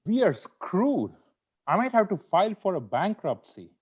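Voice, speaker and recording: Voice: medium pitch, sing-song, moderate pace, husky, muffled recording. A performer in an amateur table read playing a stressed, sweet-toothed lawyer husband who bickers, wheedles and pleads like he is in court. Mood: frustrated, gloomy. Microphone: video call.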